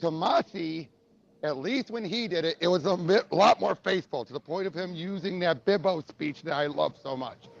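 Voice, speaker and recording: A man with a raspy voice chatting casually on a comics podcast. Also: on the high side, moderate pace, no room sound, muffled recording. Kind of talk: casual chat.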